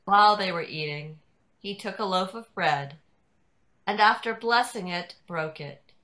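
Very bright-voiced woman narrating scripture. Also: mid-range voice, measured pace. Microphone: video call.

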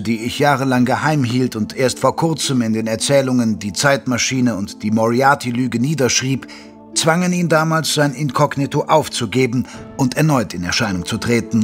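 An actor playing a gentlemanly Victorian chronicler, narrating old case records in a measured, formal, solemn manner. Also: male voice, medium pitch, lightly acted. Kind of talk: scripted dramatic narration. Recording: studio voice over prominent music.

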